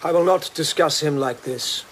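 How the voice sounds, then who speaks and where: An actor playing a proud, cultured court lord in a radio drama, speaking in a formal, restrained and disdainful way. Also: male voice, fairly high pitch, normal speed, a bit bright, a little hiss or hum, natural voice. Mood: guarded, disdainful.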